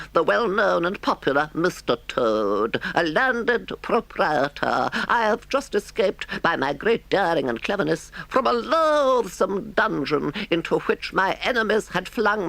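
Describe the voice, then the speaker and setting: A man voicing a boastful, frantic male toad who wails and pleads in a high, drawn-out voice. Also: gravelly, pushed and bright, dry recording, full character voice, poor audio.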